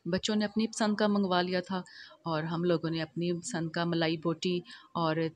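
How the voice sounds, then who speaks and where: Flat, low-pitched woman narrating a vlog. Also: moderate pace, no room sound. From Pakistan. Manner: chatty, homely narration with frequent fillers. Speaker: vlogger.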